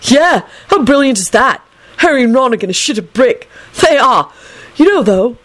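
A woman's voice: on the high side, sing-song, normal speed, no room sound, pitched above the performer's own voice. A woman voicing a melodramatic, easily exasperated young man in a story, with whiny, indignant delivery. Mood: excited. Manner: whiny, indignant, theatrical complaining.

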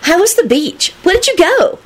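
An excitable storybook girl, put on by a woman, cheeky and curious. She has a very high, swooping, eager voice. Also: unhurried, full character voice. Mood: excited. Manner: bright, blunt, admiring.

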